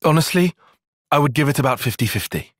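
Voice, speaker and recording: Formal young tactician, husky edge. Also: male voice, a little high, expressive, dry recording, studio quality. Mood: wry, critical. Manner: precise, measured, wry, formal diction. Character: young male tactician, analytical, formal, dryly critical soldier. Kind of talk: video game cutscene voice acting.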